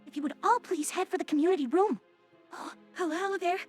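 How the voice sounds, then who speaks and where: A woman's synthetic voice acting a brisk pony nurse from a cartoon audio drama. She is quite high in pitch and politely announces things, with music underneath. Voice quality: synthetic text-to-speech voice. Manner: courteous, announcing.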